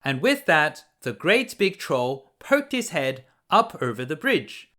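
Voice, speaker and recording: A male storyteller reads a children's fairy tale aloud. His delivery is slow and deliberate, with very animated intonation and a bright, clear-cut edge. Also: fairly high pitch. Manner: expressive, deliberate.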